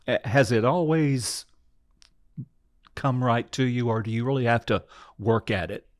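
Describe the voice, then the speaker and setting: A man hosting an interview, asking brief, measured questions. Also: mid-range voice, dry recording, clean studio sound. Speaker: host.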